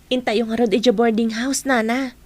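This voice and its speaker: A woman's voice: on the high side, steady tone, moderate pace, close to an everyday voice. A woman playing a kind, reassuring young woman with an Ilocano accent in a radio drama, respectful to her elders. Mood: friendly.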